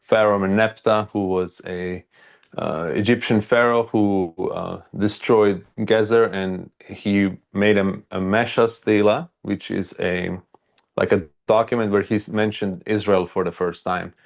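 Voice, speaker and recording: A man with a Croatian accent speaks in a very deep register with a lot of gravelly fry. He tells stories casually as an interview guest, halting often and leaning on filler words. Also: fairly even intonation. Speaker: archaeologist and video creator, interview guest.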